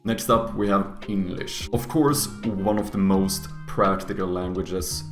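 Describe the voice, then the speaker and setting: Low gravelly man with a Swedish accent. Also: steady tone, unhurried, echoey room, faint music behind. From Sweden. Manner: joking, teasing, mock-harsh. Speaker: YouTuber. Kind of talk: casual tier-list commentary.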